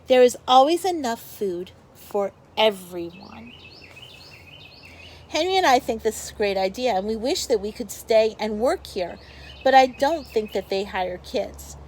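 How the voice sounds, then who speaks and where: A woman's voice: fairly high pitch, expressive, measured pace, darkish tone, a little hiss or hum. A woman teacher reads a picture book aloud to young children, expressively, with explanatory asides to her pupils.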